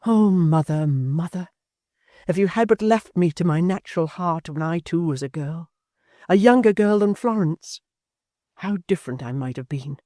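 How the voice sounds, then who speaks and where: A proud, bitter young woman who speaks in a low, steady, measured voice, as a male reader plays her. The tone is mellow and slightly raised for a man, from a storybook reading. The sound is dry and close. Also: dry recording, natural voice. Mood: cold. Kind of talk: audiobook character voice.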